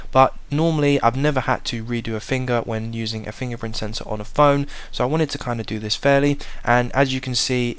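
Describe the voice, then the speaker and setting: Smooth-voiced man reviewing a budget phone. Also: medium pitch. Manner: plain, measured, explanatory. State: slight cold. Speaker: tech reviewer. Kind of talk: voice-over product review.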